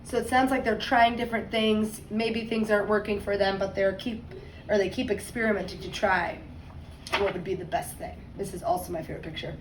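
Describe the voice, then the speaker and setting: A woman teacher reading a picture book aloud to young children, expressively and deliberately, stressing words and adding conversational asides. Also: mid-range voice, fairly even intonation. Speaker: second grade teacher. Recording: roomy echo, distant mic, faint noise.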